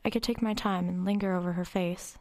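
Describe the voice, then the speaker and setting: A woman's voice: lowish voice, steady tone, brisk, a little mellow, natural voice. A woman playing a brooding, eerily detached teenage girl who narrates her own story in a radio horror drama. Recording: music under the voice.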